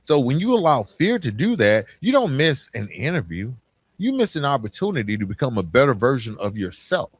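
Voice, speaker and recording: Male, middle-aged American podcast host. Mid-range pitch, dry close sound, colloquial and emphatic motivational delivery. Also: no room sound. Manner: emphatic, colloquial, direct address with rhetorical questions and repetition. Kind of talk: motivational solo podcast talk.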